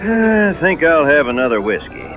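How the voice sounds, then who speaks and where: An actor playing a teasing, boastful frontier saloon regular in a radio drama, talking in a folksy manner. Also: male voice, on the high side, very animated, normal speed, muffled recording, a crowd in the background. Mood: scoffing.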